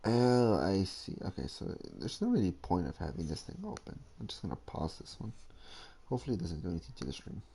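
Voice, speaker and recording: A man giving casual live gameplay commentary as a streamer, halting and slangy in his delivery. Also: deep voice, very animated, vocal fry, warm tone, slightly rough. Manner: halting, slangy, muttering while reading. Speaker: video game streamer.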